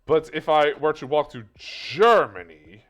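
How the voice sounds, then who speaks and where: A man, his fairly high voice rising and falling a lot. He jokes and tells stories in easygoing chat, fluent and quick to laugh at himself. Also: expressive, soft background music. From Netherlands. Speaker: co-host. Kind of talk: casual chat.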